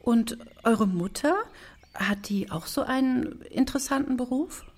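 A woman's voice: on the high side, measured pace, breathy edge. A woman playing a curious, polite but nosy mother in a children's radio drama, speaking hesitantly.

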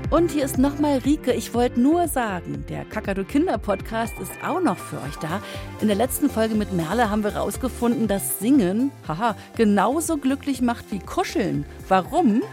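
A woman's voice: a little high, very animated, moderate pace, vocal fry, music in the background. A woman hosting a children's podcast, giving a chatty scripted promo.

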